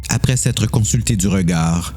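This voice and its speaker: A man's voice: sits low, normal speed, a little mellow. A man narrating a scripted story for an amateur audio drama.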